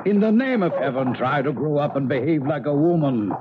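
A stern, threatening man of colonial days, played by an actor in an old radio play. His voice rides high and swings widely, blustering and scolding. The recording is dull and very noisy, with clicks. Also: lively intonation, fairly quick, close to an everyday voice. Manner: blustering, emphatic, old-fashioned oaths. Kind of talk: 1970s radio drama acting.

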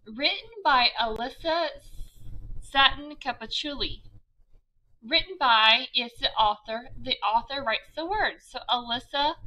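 A woman teacher speaking to young children in a read-aloud lesson, spelling things out deliberately. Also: high voice, pushed and bright, poor audio.

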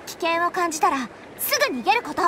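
An actress playing a friendly, caring young girl guide in an anime game, speaking casually and giving gentle instructions. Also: very high voice, expressive, fast talker, background noise. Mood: caring, helpful. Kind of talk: Japanese anime game dub acting. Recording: game music behind.

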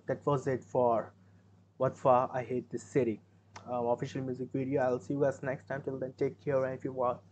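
A man's voice: mid-range voice, a little mellow, dull audio, slight background noise. A young man with an Indian accent, giving rambling, casual commentary as he reacts to a music video in a small room at his webcam. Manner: rambling, self-correcting, chatty.

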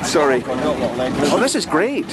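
A middle-aged man chatting excitedly while filming a vintage bus ride on a handheld camera. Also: on the high side, takes it slowly, a loud crowd. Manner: chatty, excitable, playful sign-offs. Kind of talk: casual handheld vlog chat. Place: old vintage bus and city street. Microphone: handheld camera mic. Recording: loud engine and street noise.

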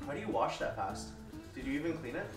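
A young man bantering with his partner on a home cooking stream, teasing and boasting with a deadpan edge. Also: medium pitch, fast talker. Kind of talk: casual playful banter. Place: home kitchen, indoors. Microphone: room mic from a fixed camera. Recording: echoey kitchen with music behind. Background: background music.

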